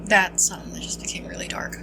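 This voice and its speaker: A woman's voice: fast, whispered. A woman doing casual gameplay commentary and reading dialogue aloud, playful and reactive. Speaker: gamer doing a playthrough. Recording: game music behind the voice.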